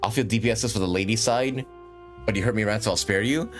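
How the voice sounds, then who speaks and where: A man's voice: fairly low pitch, fairly quick, faint music behind. A man hosting a livestream with casual, rambling gaming commentary and frequent chatty asides. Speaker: livestream host.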